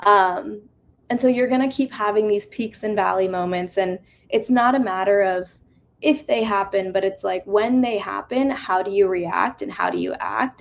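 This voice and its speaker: A woman's voice: mid-range voice, vocal fry, a little hiss or hum. A woman in her twenties giving an earnest, reflective talk with slides on a video call, with many fillers. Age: 20s. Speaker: conference speaker. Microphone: video call mic.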